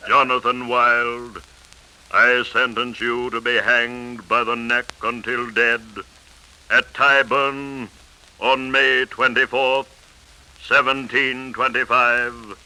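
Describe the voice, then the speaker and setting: An actor playing a stern, solemn eighteenth-century judge, formal and declamatory, in 1930s radio drama style. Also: male voice, mid-range voice, level tone, slow, bright, forceful tone. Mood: grave, solemn. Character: stern, solemn, unbending male 18th-century court judge. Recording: scratchy 1930s radio broadcast.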